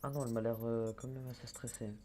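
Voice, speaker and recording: A man's voice: medium pitch, fairly even intonation, fast, dark tone, soft background music. Hesitant young man mumbling through gameplay. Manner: hesitant, many fillers and restarts, mutters while playing. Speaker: gaming YouTuber. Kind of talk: casual let's-play commentary. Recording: faint background noise.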